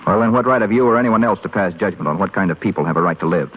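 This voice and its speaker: A man's voice: low voice, very fast, lightly acted. An actor playing a brash, sardonic young rich man in a 1930s radio drama. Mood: bitter, sardonic. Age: young adult. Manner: wisecracking, sardonic. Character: brash, flippant, restless young rich male hospital patient. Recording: scratchy, tinny 1930s radio broadcast recording.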